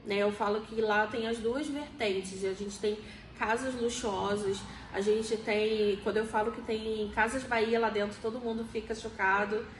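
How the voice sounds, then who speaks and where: A woman of forty with a Rio de Janeiro accent gives conversational interview answers in a fairly high voice. She explains things and often seeks agreement. The room is echoey, with faint noise behind her. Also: steady tone, moderate pace. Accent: Rio de Janeiro Brazilian Portuguese. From Rio de Janeiro, Brazil. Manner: explanatory, frequently seeks agreement with tag questions.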